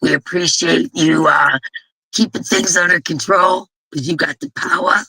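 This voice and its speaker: A blunt, chatty woman hosting her crochet stream, her voice hoarse and gravelly, with a husky edge. The tone is very bright and forward, and the sound is dry and close. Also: on the low side, normal speed, no echo, rough recording. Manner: blunt, chatty, rambling, with frequent restarts. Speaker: host of a crochet live stream. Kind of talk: casual live-stream chat.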